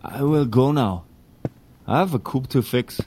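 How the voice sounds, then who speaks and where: An actor playing a wry, hard-drinking Prussian immigrant with a German accent in a historical drama, glib and sardonic. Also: male voice, medium pitch, lively intonation, a little slow, warm tone, a little hiss or hum. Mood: dismissive.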